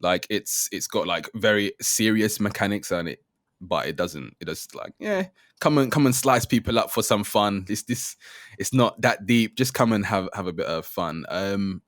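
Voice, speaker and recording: A man's voice: on the low side, expressive, normal speed, no room sound, studio quality. A man in his twenties hosting a casual games podcast, asking questions and laughing often. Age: 20s. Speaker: podcast host.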